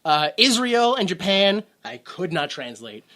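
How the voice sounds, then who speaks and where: A man's voice: high-pitched, sing-song, measured pace, sharp tone. A man co-hosting a casual movie podcast, rambling and joking, laughing often. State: has a headache. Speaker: podcast co-host.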